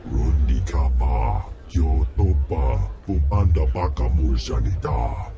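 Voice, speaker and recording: Booming alien slug crime lord. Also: male voice, very animated, takes it slowly, vocal fry, lo-fi sound, a crowd in the background, exaggerated voice. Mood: commanding. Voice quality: deep booming alien gibberish. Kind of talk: video game cutscene. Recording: music behind.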